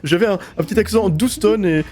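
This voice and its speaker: A man's voice: sits high, a little fast, darkish tone. A man streaming a game, roleplaying in a mock-formal, theatrical way. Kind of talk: in-character roleplay during a game.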